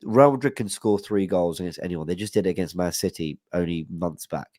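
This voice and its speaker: A man's voice: deep voice, a little fast, a little mellow, no echo, clean studio sound. A young man guesting on a football podcast over a video call, bunged up from hay fever, nervous and rambling, with lots of apologies. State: stuffy nose from hay fever. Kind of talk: casual football podcast chat. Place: home room, video call. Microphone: studio mic with headset.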